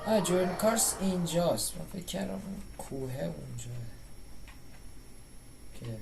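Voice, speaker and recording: A man's voice: fairly high pitch, lively intonation, rapid-fire, vocal fry, music in the background. A man with an Iranian accent giving casual, playful gameplay commentary, muttering to himself as he plays. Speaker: game streamer. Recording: noisy, with game sound behind.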